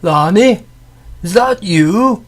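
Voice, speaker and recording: A man's voice: high voice, lively intonation, slight background noise, pitched above the performer's own voice. A man doing a bewildered, nervous farmer. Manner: hesitant, confused, slow. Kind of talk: storybook reading.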